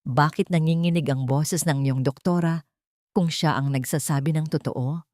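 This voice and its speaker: A woman's voice: low-pitched, steady tone, normal speed, darkish tone, dry recording, clean studio sound, natural voice. A woman voicing a frail but firm blind old grandmother in an audio drama, grave and steadfast. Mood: grave, challenging.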